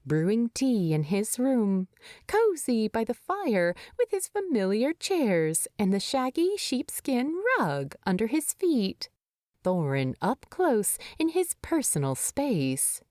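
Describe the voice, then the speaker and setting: A woman narrating a story in an expressive, tender way. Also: on the high side, slow pace, no echo, studio quality. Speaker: fan fiction narrator.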